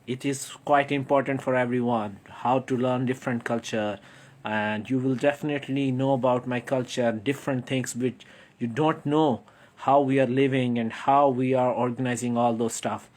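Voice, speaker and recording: A man's voice: medium pitch, fairly even intonation, normal speed, darkish tone. A man with a Pakistani accent hosting a casual livestream interview in an effusive, repetitive, wordy way. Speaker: live stream host.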